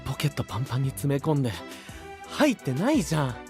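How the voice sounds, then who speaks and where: A man voicing a sensible, gentle young traveler, cautious and mildly exasperated, in an animated audio drama. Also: mid-range voice, quick pace, music in the background. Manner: soft-hearted, cautious, questioning. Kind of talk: animated Japanese voice drama acting.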